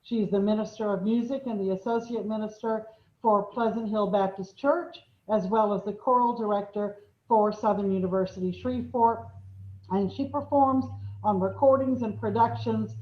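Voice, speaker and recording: Woman hosting, brisk and joking at herself, muffled echoey call audio. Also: mid-range voice, steady tone. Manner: brisk, self-deprecating. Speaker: host introducing presenters. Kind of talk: online presentation. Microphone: video call.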